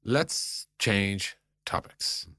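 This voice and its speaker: A man's voice: on the low side, lively intonation, drawn-out words, pushed and bright, dry recording, studio quality. A man co-hosting a business podcast, leading with questions and reasoning aloud in an informal, probing way. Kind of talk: casual business podcast conversation.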